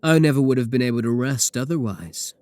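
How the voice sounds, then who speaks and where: A man playing a possessive werewolf professor in an intimate ASMR roleplay, speaking deliberately with coolly affectionate menace. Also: mid-range voice, dry recording, lightly acted. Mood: possessive, adoring. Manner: slow, deliberate, soft chuckles, pet names. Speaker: voice actor in a roleplay audio.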